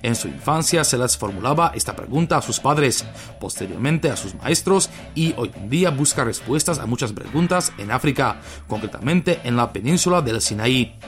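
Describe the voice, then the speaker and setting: A male radio host reading a scripted introduction and interview questions in a formal, measured way. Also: mid-range voice, expressive, faint music behind.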